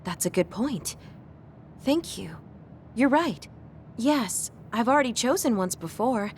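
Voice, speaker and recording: A woman voicing a gentle, caring young woman in a video game, speaking politely and softly. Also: on the high side, expressive, slow, a little hiss or hum. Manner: polite and soft-spoken. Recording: music under the dialogue.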